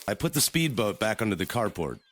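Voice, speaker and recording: An actor playing an exasperated, hot-tempered, sarcastic boat business owner in an audio drama. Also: male voice, lowish voice, fairly quick, a little hiss or hum. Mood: resigned, worried. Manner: animated, exclaiming, sarcastic.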